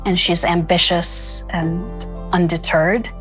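A woman telling stories from her work in an interview, earnest and reflective, with many pauses and fillers. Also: lowish voice, level tone, fairly bright tone, faint music behind. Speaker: founder of a refugee school. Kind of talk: interview storytelling.

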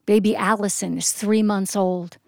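American lady doing live storytelling, expressive and deliberate, medium pitch, really dry sound. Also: vocal fry, no echo. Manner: expressive, descriptive, deliberate phrasing. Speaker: storyteller and actress. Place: performance studio.

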